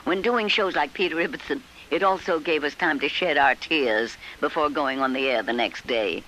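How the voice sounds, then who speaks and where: A male presenter with a bright, fairly high voice reminisces in the manner of a radio introduction. There is faint noise behind him. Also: moderate pace, rough recording.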